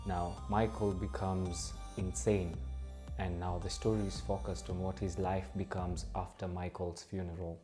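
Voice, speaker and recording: Low, flat-voiced young man from Kenya. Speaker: student playwright and director. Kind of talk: interview.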